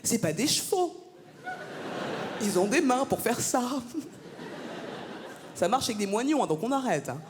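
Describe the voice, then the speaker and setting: High-voiced woman doing stand-up in a hall. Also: big pitch swings, measured pace, pauses often, noisy background. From France. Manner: punchy, teasing crowd banter. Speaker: stand-up comedian. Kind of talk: stand-up comedy set. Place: large theatre stage. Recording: live gala, long hall reverb.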